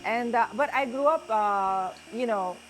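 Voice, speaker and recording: A woman's voice: on the high side, measured pace, a little hiss or hum. A woman from Russia, hosting a cooking show as a chef, chatting casually, often laughing and telling stories as she cooks in a kitchen. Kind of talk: casual chat while cooking.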